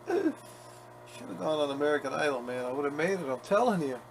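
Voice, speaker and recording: A man's voice: on the high side, gravelly, a bit bright, rough recording. Joking man chatting in a workshop. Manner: chatty, joking, self-mocking. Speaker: leatherworker. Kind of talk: casual workshop narration. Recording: workshop noise behind the voice.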